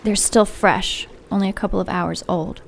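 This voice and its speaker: Gravelly female acolyte, played by a woman, matter-of-fact, slight noise behind. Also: mid-range voice, steady tone, normal speed, lightly acted. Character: demon's loyal female acolyte.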